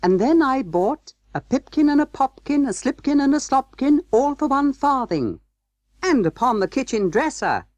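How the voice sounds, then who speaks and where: A storybook narrator voicing tiny, mischievous mice in a small, shrill, sing-song voice. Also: very animated, normal speed, darkish tone, dry recording, dull audio. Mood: cheeky. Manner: sing-song chanting of rhymes.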